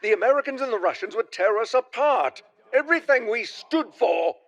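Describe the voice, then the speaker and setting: An actor playing an anxious, dismayed scientist, pleading and indignant, in a video game. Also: male voice, extremely high pitch, lively intonation, normal speed, vocal fry, a bit bright, lo-fi sound, full character voice. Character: anxious, dismayed male German scientist colleague. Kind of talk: video game cutscene acting.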